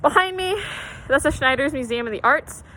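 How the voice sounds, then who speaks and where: A woman's voice: sits high, lively intonation, normal speed, bright, forceful tone, slight background noise, poor audio. Chatty young woman giving a walking tour. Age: young adult. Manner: chatty, upbeat, many fillers and self-corrections. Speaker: student tour guide. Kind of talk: walking campus tour, casual. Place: outdoors on a college campus, near a highway.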